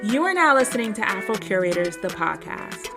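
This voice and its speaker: A woman's voice: mid-range voice, big pitch swings, a little fast, loud music behind the voice. Woman, young adult, podcast host, effusive, casual slang. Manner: upbeat, effusive, friendly slang. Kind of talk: casual interview chat.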